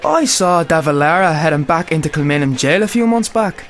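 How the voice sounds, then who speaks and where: A man acts out an opinionated old Irishman in a radio drama. He chats conversationally in a slightly high voice with wry asides. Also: lively intonation, a little fast, a bit bright, faint music behind. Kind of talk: conversational radio play acting.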